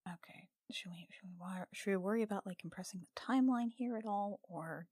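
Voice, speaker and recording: A woman's voice: medium pitch, a little fast, darkish tone, no echo, clean studio sound. A woman casually improvising as a player in a tabletop game, with an informal, conversational manner.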